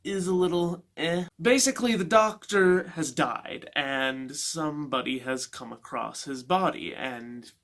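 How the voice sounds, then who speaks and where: A casual, halting male book vlogger. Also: on the high side, fairly bright tone. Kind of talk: casual unscripted review chat.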